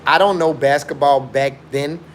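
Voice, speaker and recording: A man's voice: fairly high pitch, steady tone, moderate pace, a little hiss or hum. A young man from Chicago, raised in South Africa, hosting a casual live stream and arguing his point with repetitive, slangy, emphatic talk. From born in Chicago, raised in South Africa. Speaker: livestream host.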